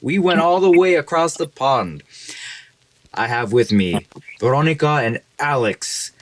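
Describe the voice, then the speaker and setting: A man's voice: mid-range voice, animated, unhurried, dry recording, low-quality recording. A man hosts a casual podcast interview with an eager, upbeat manner. His voice sits in an ordinary middle range, and he fills the gaps with friendly asides. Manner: upbeat, hyping up guests. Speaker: podcast host.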